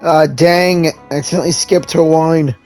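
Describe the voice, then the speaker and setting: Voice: medium pitch, fairly even intonation, moderate pace, music in the background. Offhand streamer commenting on a game. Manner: offhand, chatty asides. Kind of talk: casual playthrough commentary.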